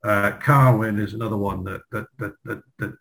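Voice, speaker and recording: A man with a somewhat low voice, reminiscing with frequent restarts and repeated words. He speaks in a friendly, unhurried chat. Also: steady tone, creaky, a bit bright. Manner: halting, repeats words often. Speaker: guest, former pupil. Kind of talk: reminiscing in a group video chat.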